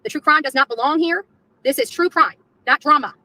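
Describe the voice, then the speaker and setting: A woman's voice: sits high, a little fast, pushed and bright, dry recording, low-quality recording. A woman on a confrontational live rant, taunting and repeating her phrases, with swearing. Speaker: activist streamer in a played clip.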